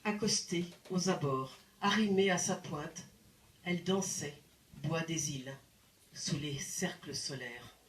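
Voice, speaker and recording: A woman reciting poetry in a deliberate, dramatic manner with expressive phrasing and pauses. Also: low voice, a little hiss or hum. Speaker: poet reciting. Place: indoor live poetry evening venue. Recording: roomy live recital sound. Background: plucked guitar music.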